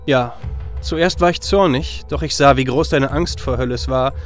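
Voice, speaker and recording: A man playing a noble, repentant warrior hero in a fantasy audio drama, speaking earnestly and with measured solemnity. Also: mid-range voice, soft background music, natural voice. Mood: gentle, forgiving.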